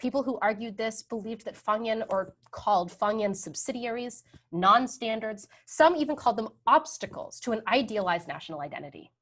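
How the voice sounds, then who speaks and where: A woman's voice: fairly high pitch, expressive, a little fast, a bit bright. Conversational woman giving an academic lecture. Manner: conversational, frequent "um" and "right?" tags.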